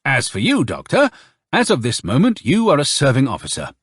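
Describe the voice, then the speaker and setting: A man's voice: medium pitch, huge pitch swings, brisk, slightly rough, no echo, clean studio sound, pitched above the performer's own voice. A man voicing a suave, insincere army colonel in an audiobook, with honeyed, emphatic politeness. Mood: brisk command.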